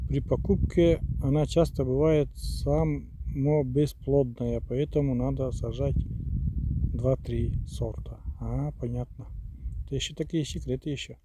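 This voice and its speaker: A man's voice: medium pitch, warm tone, a little hiss or hum. A man on a casual live stream outdoors in the countryside, reading chat aloud and spelling out words letter by letter. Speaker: live streamer from a rural village. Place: outdoors in the countryside near a river, on the move.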